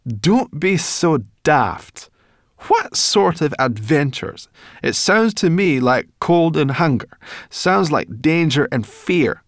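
A man's voice: medium pitch, animated, normal speed, no room sound, studio quality, voice pushed up, natural voice. A man voicing a cross, grumbling female brownie creature in a storybook reading. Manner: grumbling, scolding.

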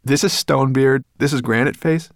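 A cheerful small boy from a storybook, acted out by a man. He is eager and open, lifted in pitch, with a dry, close sound. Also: normal speed, no echo, lightly acted.

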